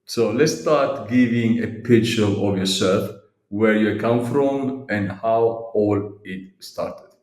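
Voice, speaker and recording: A male podcast host opens with polite thanks and formal questions. His voice sits low and steady. The room around him adds a noticeable echo. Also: takes it slowly. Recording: echoey room.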